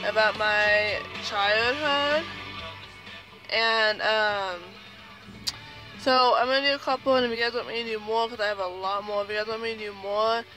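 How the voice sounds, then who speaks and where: A woman's voice: medium pitch, low-quality recording. A young woman with a speech impediment telling a childhood story casually to camera, rambling with many fillers and restarts. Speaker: vlogger.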